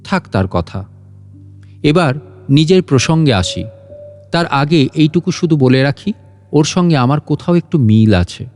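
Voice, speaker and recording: A man's voice: a little high, lively intonation, unhurried, long pauses, darkish tone. A man reads a literary story as a scripted audio narration. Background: guitar and instrumental music.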